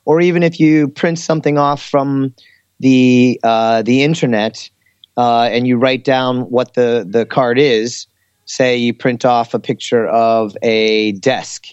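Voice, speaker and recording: A man chatting casually and giving teaching advice, explaining things in a hesitant, halting way. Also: medium pitch, fairly even intonation, darkish tone, clear, resonant tone, dry recording. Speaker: English teacher in Korea, talk show speaker. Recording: slightly dull, lo-fi audio.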